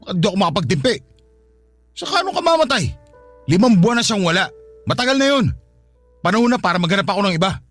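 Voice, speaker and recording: A short-tempered father in a radio drama. He scolds in a raised, high voice and fires his words quickly and impatiently, over faint music. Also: very animated, a bit bright, exaggerated voice. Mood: angry, scolding. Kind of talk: Tagalog radio drama acting.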